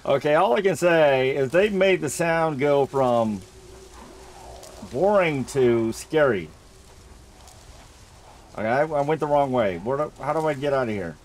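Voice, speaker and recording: A man's voice: medium pitch, expressive, unhurried, pauses often, a little hiss or hum. A man giving casual Let's Play commentary on a horror game, rambling and thinking aloud as he plays, often repeating himself. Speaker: gamer narrating a horror game playthrough.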